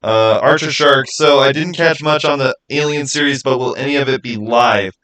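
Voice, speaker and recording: A man hosts a casual livestream in a mid-pitched voice. He talks informally and thinks aloud, rambling through his answers to viewers like a game master chatting with his players. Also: normal speed, a bit bright.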